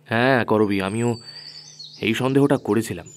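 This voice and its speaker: A man's voice: on the low side, normal speed, a little hiss or hum, lightly acted. A man playing a sensible, firm young investigator with a questioning manner in an audio horror story. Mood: measured, reassuring. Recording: music under the voice.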